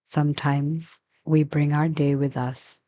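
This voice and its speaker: A woman leading a guided meditation as a teacher, giving gentle, unhurried instructions with long pauses. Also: low voice, fairly even intonation.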